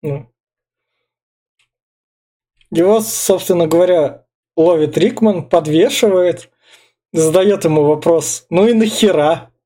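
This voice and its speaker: A man's voice: on the high side, slow, long pauses, warm tone. A man chatting casually and colloquially about a film as a podcast co-host.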